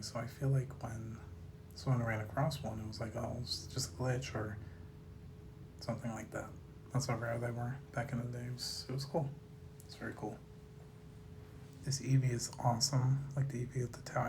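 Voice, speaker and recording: A man with a slightly raspy voice chats casually to viewers as a host, musing in a laid-back way and trailing off mid-thought. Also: medium pitch, background noise. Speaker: host of a card collecting video series. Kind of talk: casual rambling commentary to viewers.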